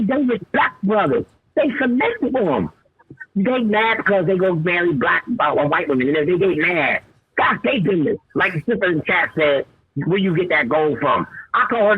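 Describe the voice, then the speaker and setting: A man's voice: high voice, slightly rough, no room sound. Preachy fifty-something man on a muffled line. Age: 50s. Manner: emphatic, preachy, repeats and stumbles over phrases. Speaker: caller. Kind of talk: fired-up preachy call-in talk.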